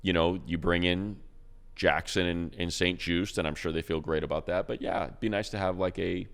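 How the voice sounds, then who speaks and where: A man with a very deep, smooth, clear voice talks football in a loose, casual way. He restarts sentences and trips over his words as he thinks aloud, in a relaxed way. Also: moderate pace. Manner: rambling, many restarts and repeated words. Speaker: radio play-by-play announcer and podcast co-host. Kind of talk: casual sports chat.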